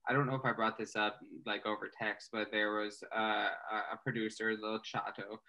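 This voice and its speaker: A man hosting an interview podcast, talking in a relaxed, slangy way with "bro" and "like" fillers. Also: lowish voice, normal speed. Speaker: podcast host. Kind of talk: casual interview chat.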